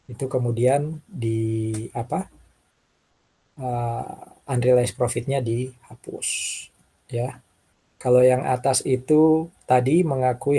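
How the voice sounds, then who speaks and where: A man with a husky voice, lecturing informally and talking through worked examples, often checking in with short tags. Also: medium pitch, dark tone, low-quality recording. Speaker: accounting lecturer.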